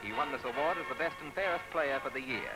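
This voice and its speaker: A man's voice: medium pitch, fast, poor audio. An Australian man narrating a sports newsreel in scripted 1950s commentary style, with wry, colourful phrasing on a vintage soundtrack. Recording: vintage newsreel soundtrack, loud music behind. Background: loud music.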